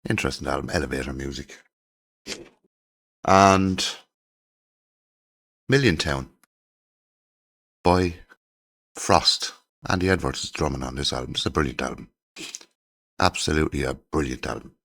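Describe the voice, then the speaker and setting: A middle-aged man hosts his channel in a loose, rambling chat. His voice sits extremely low, with a gravelly fry, and a cold leaves it croaky. He sounds enthusiastic as he works through his CD collection. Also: a little slow, pauses often, fairly bright tone. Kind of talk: casual chat to camera.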